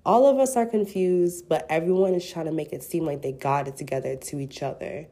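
A woman's voice: low voice, a little fast, dark tone. A woman in her twenties hosting a casual solo podcast, playful and slangy, sounding congested from a cold. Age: 20s. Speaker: podcast host.

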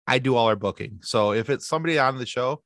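A man guesting on a podcast, telling stories in a lively chatty way with quick asides and restarts. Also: mid-range voice, no echo, studio quality. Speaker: podcast guest, sci-fi podcast co-host. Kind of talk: casual interview chat.

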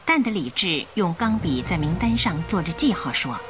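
A woman's voice: on the low side, big pitch swings, moderate pace. A woman narrating a scripted radio drama as the storyteller. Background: music.

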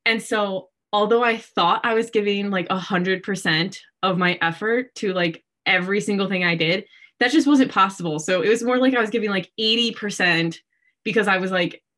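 A woman's voice: mid-range voice, moderate pace, a bit bright. A woman in her early twenties with an American accent, telling personal stories in a casual, chatty way with frequent "like.". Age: early 20s. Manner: chatty, heavy use of "like". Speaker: interview guest. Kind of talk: casual chat, personal storytelling.

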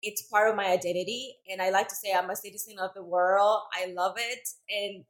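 Woman, native Spanish speaker, Central American accent, mid-pitched voice, podcast guest, conversational storytelling. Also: fairly even intonation, a little fast. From Costa Rica. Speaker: podcast guest, Zumba instructor.

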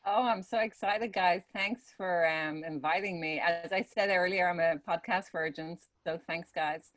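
A middle-aged Irish woman guesting on a podcast, spinning her stories in an animated, chatty flow full of fillers. Her voice sits a little low for a woman, and the recording is close and dry. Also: normal speed, dry recording. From Ireland. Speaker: podcast guest, salon manager. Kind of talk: casual interview storytelling.

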